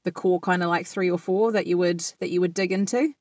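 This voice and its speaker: Measured woman with a lowish voice. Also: fairly even intonation, darkish tone, no room sound. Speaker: podcast co-host. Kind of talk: casual chat.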